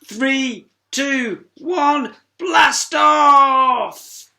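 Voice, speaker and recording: A woman's voice: sits high, very slow, gravelly, sharp tone, voice pushed up, full character voice. A woman voicing an eager, big-dreaming female hippo adventurer in a children's storybook, earnest and exclaiming. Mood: excited.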